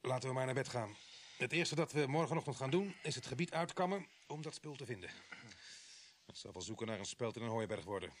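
Guy doing a village police sergeant in a radio drama, medium pitch, plain spoken, stubborn, dry. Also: brisk, close to an everyday voice. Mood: weary, resolved. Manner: plain-spoken, steady, dryly defiant. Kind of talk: Dutch radio play acting.

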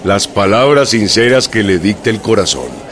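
A man's voice: lowish voice, big pitch swings, normal speed. A man playing a proud, plain-spoken Mexican revolutionary general in a radio drama, speaking in solemn declamation. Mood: solemn, heartfelt. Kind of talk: historical radio drama acting. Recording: loud music and crowd behind.

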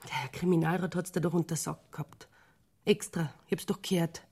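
A woman's voice: fairly low pitch, fairly even intonation, fairly quick, darkish tone, breathy edge. An actress playing a reproachful, worried, down-to-earth woman with a Bavarian accent in a radio drama. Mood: exasperated scolding. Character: scolding, exasperated Bavarian woman who worries about him.

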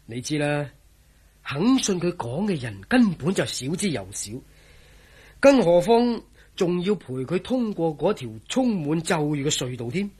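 A high-pitched, animated scoffing friend. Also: male voice, slightly rough, heavily acted. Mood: wry. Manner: teasing, scoffing, drawn-out exclamations. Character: scoffing, jovial man, mocking a believer in legends. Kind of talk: Cantonese radio drama acting.

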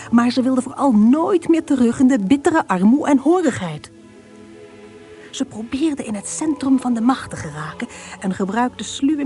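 Mellow female radio drama narrator. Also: on the high side.

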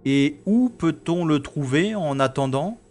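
An actor playing a wry, stubborn special agent who narrates his own science-fiction audio drama in a sardonic, deliberate way. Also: fairly high pitch, lively intonation, darkish tone. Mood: exasperated, determined.

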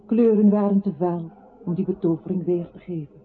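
Actor as high-voiced, solemn male accuser, tinny sound. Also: steady tone, normal speed, natural voice. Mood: lyrical. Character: accusing male voice narrating a captive's life to him. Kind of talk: radio play, poetic second-person narration. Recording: tinny, narrow old radio recording with hiss.

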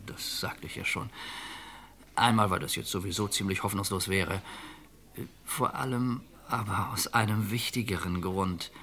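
An actor playing a calm, resigned middle-aged fugitive in an old radio crime drama, measured and reflective. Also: male voice, lowish voice. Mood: resolved, subdued.

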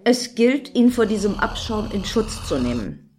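A woman's voice: medium pitch, sing-song, unhurried, darkish tone. An actress playing an earnest, reproachful and solemn female devotee in a German radio play. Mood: indignant. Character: earnest, indignant female devotee on a protective mission. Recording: faint noise and clicks.

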